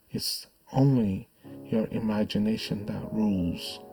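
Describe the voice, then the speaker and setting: A man speaking as a hypnotist, narrating a guided trance in a soothing, measured, hushed delivery with lingering pauses. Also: on the low side, gravelly, poor audio.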